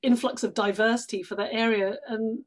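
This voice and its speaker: A woman's voice: fairly high pitch, steady tone, brisk. A woman from outside London with a British accent, chatting casually as a guest in an interview. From England, outside London. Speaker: podcast guest, stage actress.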